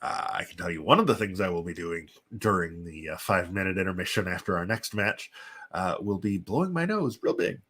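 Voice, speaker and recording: A man with a congested, sniffly voice from a chest cold, hosting and giving casual, halting play-by-play commentary on simulated wrestling. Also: low-pitched, expressive, pushed and bright, slightly rough. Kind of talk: casual live play-by-play commentary with chatty asides.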